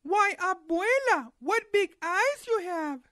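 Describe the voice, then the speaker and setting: Man doing a little girl, very high voice, polite, wary, sing-song, storybook character. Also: lively intonation, slow, sharp tone, no echo, studio quality, heavily acted. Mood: curious.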